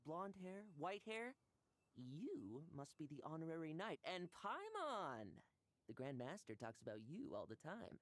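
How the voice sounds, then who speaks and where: A woman's voice: deep voice, big pitch swings, measured pace, dry recording. A woman voicing a brash, boisterous young male knight who sounds cocky and eager for a fight.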